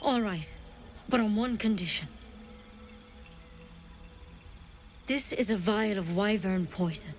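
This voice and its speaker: A woman's voice: mid-range voice, moderate pace, a little hiss or hum, natural voice. A woman playing a stern, worried healer in a video game, speaking in a grave and insistent manner. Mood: grave, reluctant. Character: stern, worried female healer druid's apprentice. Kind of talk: video game dialogue acting.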